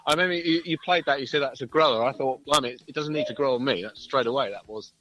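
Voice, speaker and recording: A man's voice: medium pitch, fast, noisy background. A British man chatting casually and agreeably as a musician guest in an interview over a phone call. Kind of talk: casual chat. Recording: dull phone-call audio.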